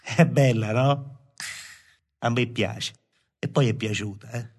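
Radio drama poet, male actor, southern Italian accent, mid-pitched, lovesick and self-absorbed, rambles on intimately. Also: takes it slowly, husky. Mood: wistful, confiding. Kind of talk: radio play acting.

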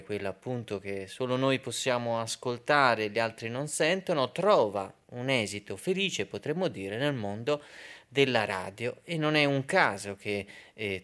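A man hosting a radio programme, introducing it in a measured, explanatory way with hesitant pauses. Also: fairly low pitch, a bit bright. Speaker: radio host.

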